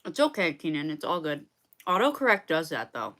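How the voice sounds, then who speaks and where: A woman's voice: deep voice, big pitch swings, fairly quick, fairly bright tone. A woman singer-songwriter chatting casually with viewers during a livestream, rambling with lots of "um"s and restarts.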